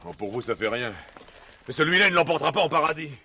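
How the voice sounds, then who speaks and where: Brash amateur cowboy for radio drama: indignant, bright and forceful, rapid-fire, slight background noise. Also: male voice, fairly high pitch, animated. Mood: defensive. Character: brash, indignant weekend cowboy who loves play-acting. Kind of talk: French radio play acting.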